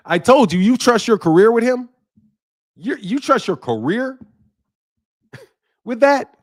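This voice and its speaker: A man's voice: very high-pitched, animated, slow pace, pauses often. A man in his mid-fifties hosting a boxing channel, talking bluntly and heatedly to his viewers and laughing often. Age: 50s. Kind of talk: live commentary to viewers.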